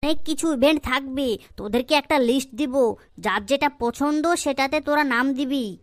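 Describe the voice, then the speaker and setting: A woman voicing a strict, scolding schoolteacher in a comedy cartoon, stern and quick to interrogate and threaten. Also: high-pitched, no echo, clean studio sound, close to an everyday voice. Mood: stern instructing.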